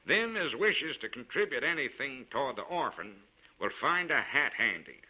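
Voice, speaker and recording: A man's voice: mid-range voice, big pitch swings, moderate pace, breathy edge, highs cut off, pitched above the performer's own voice, exaggerated voice. A man voicing an officious, self-important frontier camp man who announces things pompously in a storybook reading. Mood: pompous authority. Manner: loud, commanding, pompous announcing.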